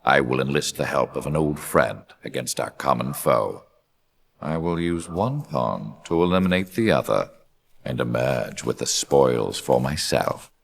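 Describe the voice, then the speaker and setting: Video game supervillain, rock-bottom pitch, heavy creak, cold, arrogant, scheming, measured, theatrical, menacing. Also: male voice, animated, heavily acted. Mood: cold, triumphant. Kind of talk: scripted video game villain narration and dialogue.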